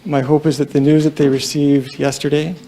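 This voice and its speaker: Quiet, somber man with a gravelly voice. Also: on the high side, level tone. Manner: halting, somber, many fillers. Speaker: police deputy chief. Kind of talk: press conference statement. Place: large room at a press conference.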